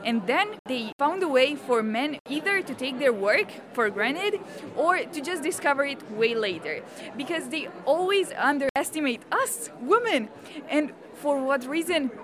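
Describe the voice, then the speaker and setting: High-pitched young woman in busy crowd. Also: expressive, measured pace. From Romania. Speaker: interviewee. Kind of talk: conversational interview answers. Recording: busy public space, crowd chatter. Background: crowd chatter.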